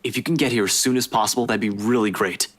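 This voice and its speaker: A woman voicing a tense, curt young man in a visual novel, with a husky, lowered, clipped voice. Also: steady tone, fast. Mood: curt, urgent.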